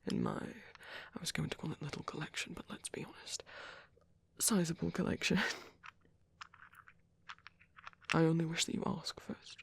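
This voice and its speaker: A man voicing a gentle, caring vampire priest in a soft, hesitant ASMR roleplay, his breathy voice often dropping to a whisper. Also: on the high side, no echo, full character voice. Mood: gently cautioning. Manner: hesitant, reassuring, tender.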